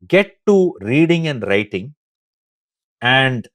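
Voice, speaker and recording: Podcast guest: man, mid-pitched, thoughtful and explanatory, dry close sound. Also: big pitch swings, slow pace, a bit bright, dry recording. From India. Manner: thoughtful, explains step by step, frequent "right?". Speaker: podcast guest, tech founder. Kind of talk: explanatory interview answer.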